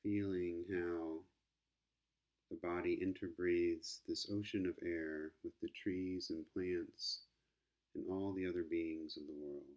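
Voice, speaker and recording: A man leading a guided meditation as a teacher, speaking gently and calmly with long unhurried pauses. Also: low-pitched.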